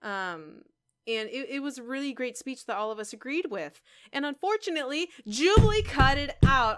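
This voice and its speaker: A woman's voice: a little high, a bit bright, smooth. A woman chatting casually to her viewers as a vlogger, rambling and conversational with emphatic asides. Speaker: YouTuber and game streamer. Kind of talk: casual vlog chat to viewers.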